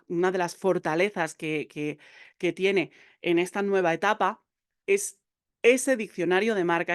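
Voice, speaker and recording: A woman gives a video lesson on brand voice with a medium-pitched voice. She talks straight to the viewer in a lively, chatty way, full of small fillers. The sound is close and dry. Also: no echo. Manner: lively, addresses viewer directly, many fillers. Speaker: copywriting teacher.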